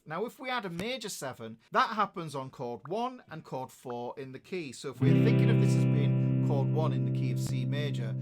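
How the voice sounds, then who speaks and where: A man teaching a guitar lesson, explaining each step in an upbeat way while he plays. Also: on the high side. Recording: loud guitar playing over voice. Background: guitar playing between phrases.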